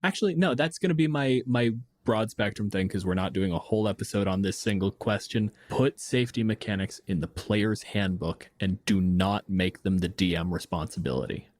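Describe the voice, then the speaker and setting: Male podcast co-host from Canada, lowish voice, blunt and informal, recorded close and dry. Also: moderate pace, few pauses, a little mellow, no echo. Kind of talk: casual podcast discussion.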